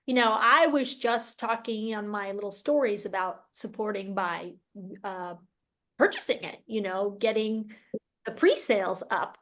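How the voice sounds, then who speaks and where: A woman hosting an informal interview, chatting in an animated, giggly way. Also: mid-range voice, a little slow. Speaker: interview host. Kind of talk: casual chat.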